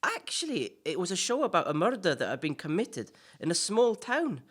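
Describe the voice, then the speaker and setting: A chatty celebrity podcast host in an audio play, a man played by a woman, with a very animated swing in pitch. The delivery stumbles politely, then turns cheeky and blunt. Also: normal speed, breathy edge. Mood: hesitant, defensive. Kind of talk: audio play dialogue in interview form.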